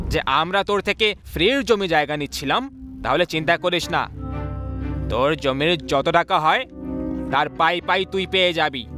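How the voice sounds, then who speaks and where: A man's voice: a little high, natural voice. A man voicing a greedy, bullying village land-grabber with a crude, threatening manner in a story drama. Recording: music behind the voice.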